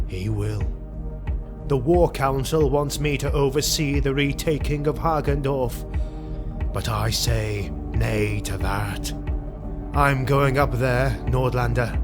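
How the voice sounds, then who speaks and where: A man acting as a grim fantasy army officer set on vengeance, speaking very slowly over music. His delivery is solemn, formal and weighty, made for a dark war audio drama. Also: mid-range voice, close to an everyday voice. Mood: sombre, earnest, then resolute, defiant.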